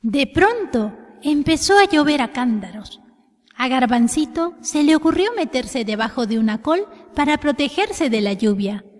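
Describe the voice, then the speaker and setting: High-voiced woman telling a children's story. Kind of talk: expressive scripted storytelling for children. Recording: long hall reverb.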